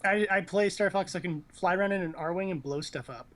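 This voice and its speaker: A man's voice: high voice, a little fast, a bit bright. A man hosting a casual gaming chat, rambling and thinking aloud with blunt opinions. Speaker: host of a gaming news show.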